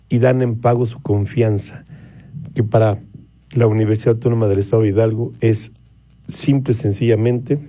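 A man's voice: mid-range voice, dark tone, no room sound. A man presenting a radio news segment, speaking directly to listeners and putting rhetorical questions to them. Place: radio studio. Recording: dry studio sound with faint music.

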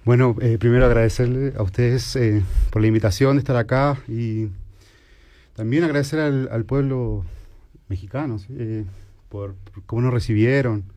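A man's voice: medium pitch, fairly even intonation, normal speed, mellow. A Chilean man with a Chilean accent, a theatre company's musician, speaking politely on the radio and searching for words. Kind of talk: radio interview.

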